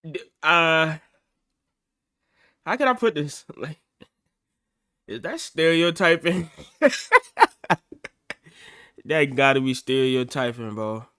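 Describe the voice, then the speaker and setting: A man's voice: a little high, expressive, slow, pauses often, pushed and bright, clean tone, no room sound, clean studio sound. An American man giving casual, slangy reaction commentary with an incredulous manner. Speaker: reaction streamer.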